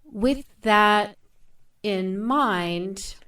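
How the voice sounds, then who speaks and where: A woman in a thoughtful podcast discussion, speaking in a deliberate, measured way with emphatic phrasing. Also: medium pitch, a bit bright, distant mic.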